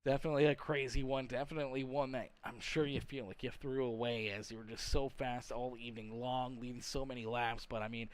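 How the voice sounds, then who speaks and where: A man works as the host of a live motorsport broadcast. He talks at length in a chummy announcer's manner, with a mid-pitched voice, moving between commentary and post-race interview questions. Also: little pitch movement, a little fast, hardly any pauses.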